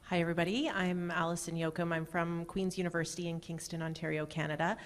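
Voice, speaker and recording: A woman introducing herself as a university enrollment director, steady and businesslike. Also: on the low side, fast talker, slight background noise. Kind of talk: panel self-introduction.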